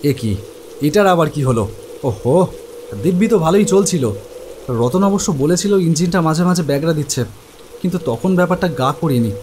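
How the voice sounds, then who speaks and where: A man playing an exasperated husband who runs a trucking business and tells his own story in an animated horror tale, talking conversationally. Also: on the high side, brisk, long pauses, slight background noise, close to an everyday voice. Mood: frustrated. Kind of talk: Bengali animated horror story acting and narration.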